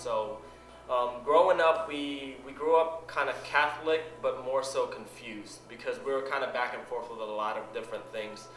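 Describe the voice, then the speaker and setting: A young man from the Philippines giving an earnest personal testimony, halting and repeating words as he goes. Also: medium pitch. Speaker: church member telling his story. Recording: roomy sound with music behind.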